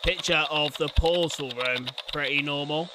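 Young man, higher-pitched, reacting casually. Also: unhurried, heavy background noise. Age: young adult. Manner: slangy, muttered asides, blunt swearing. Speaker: reaction video host. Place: indoor room at home. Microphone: close desk condenser mic, headset on.

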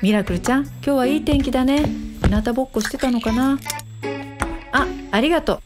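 An actress playing a bossy, upbeat, affectionate woman matchmaker in a radio drama, brisk and coaxing. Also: high voice, music in the background, close to an everyday voice. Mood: affectionate cooing.

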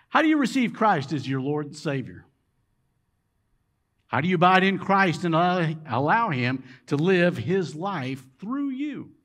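A man, preaching a sermon in a large church sanctuary and swinging between loud emphasis and low, quiet drops. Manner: emphatic preaching, rising and dropping dramatically. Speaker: pastor. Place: large church sanctuary with rows of empty chairs.

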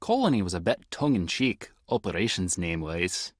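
Husky, wry Scottish spy. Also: male voice, deep voice, very animated, normal speed, no echo, studio quality. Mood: wry, explanatory. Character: wry, clever male tech-savvy spy agent. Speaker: video game voice actor. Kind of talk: scripted adventure game dialogue.

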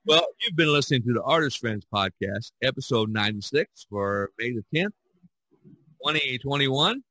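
A man hosting a casual podcast discussion in a halting, rambling way, often repeating "you know". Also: mid-range voice, expressive, sharp tone, no echo, poor audio. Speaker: podcast host.

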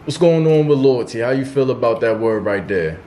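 A man's voice: medium pitch, animated, a little fast, dark tone, slight background noise. A man interviewing a guest, asking questions in an easygoing, slang-filled way.

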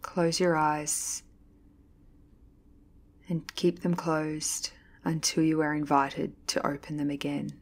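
A woman leading a guided yoga nidra meditation as an instructor, reading calmly and deliberately with measured pauses. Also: sits low. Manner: calm, soothing, slow, deliberate pauses. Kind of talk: guided meditation, scripted narration.

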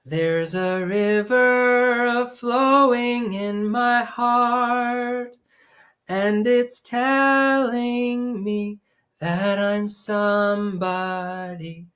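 A man in his thirties leading young children in songs with hand signs, gentle and encouraging, recorded on a webcam in a small room at home. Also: high voice, steady tone, soft background music. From Maine, US. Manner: gentle, encouraging, slow sing-along. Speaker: children's music teacher. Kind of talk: singing children's songs with sign language. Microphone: webcam at desk distance.

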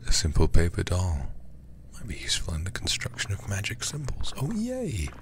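A man streaming a game in ASMR style, reading aloud and commenting in a hushed, intimate way close to the microphone. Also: low voice, huge pitch swings, background noise. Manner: hushed, slow and intimate delivery with breathy whispers.

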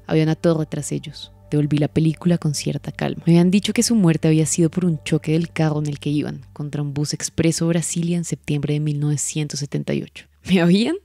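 An actress playing a cold, vengeful Colombian señora in a radio drama, taunting and deliberate in the way she talks. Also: deep voice, dark tone. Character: cold, vengeful señora savouring her long-awaited revenge.

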